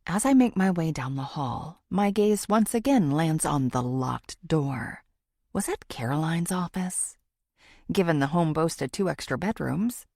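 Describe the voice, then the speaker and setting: A woman playing a thoughtful, determined amateur sleuth, narrating a thriller audiobook in an introspective, expressive way. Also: fairly low pitch, no room sound, clean studio sound, heavily acted.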